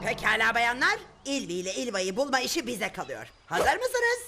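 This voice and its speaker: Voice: medium pitch, huge pitch swings, moderate pace, gravelly. An actor voicing a nervous, bumbling villager in a cartoon, stammering and flustered. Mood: eager, blundering. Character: nervous, bumbling grown villager who blurts out secrets. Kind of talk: animated cartoon dubbing. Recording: loud music behind.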